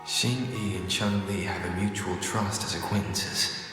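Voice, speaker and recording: A man playing a game hero's calm inner thoughts, in heavy echo with long reverb. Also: low-pitched, measured pace, over music. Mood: reflective. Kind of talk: video game character dialogue.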